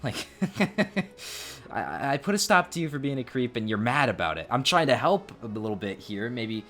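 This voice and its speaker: Male YouTuber, animated delivery, mid-pitched voice, storytelling host with light music behind. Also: normal speed. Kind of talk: scripted story narration and confrontation.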